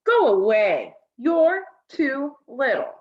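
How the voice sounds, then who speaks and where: Woman playing a bossy, scowling young boy, high-pitched. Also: sing-song, slow pace, lo-fi sound, exaggerated voice. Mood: scornful, annoyed. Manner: loud, dismissive.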